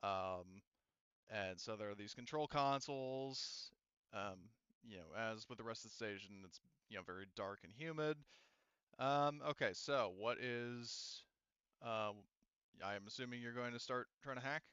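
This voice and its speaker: A man's voice: medium pitch, a little slow, fairly bright tone, clear, resonant tone, dry recording, dull audio. A man acting as game master, casually narrating and bantering with players in a rambling, colloquial way.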